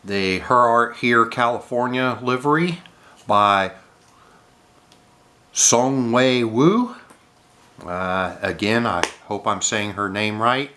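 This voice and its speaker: A man with a cold, sounding nasal, casually narrating an unboxing of model airplanes with many filler sounds and throat clearing. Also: medium pitch, slow, pauses often, bright, forceful tone, a little hiss or hum.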